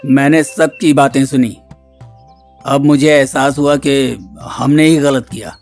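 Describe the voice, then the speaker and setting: A man's voice: medium pitch, fairly even intonation, unhurried, darkish tone, faint music behind, lightly acted. A man voicing a stern, traditional village headman in a moral-story cartoon, speaking with authority. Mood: remorseful. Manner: authoritative, admonishing.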